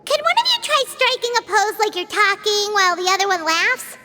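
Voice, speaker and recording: A woman's voice: very high voice, animated, fairly quick. A woman voicing a small, chatty fairy companion girl who refers to herself in the third person, in a game scene. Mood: thoughtful. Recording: game audio with music behind.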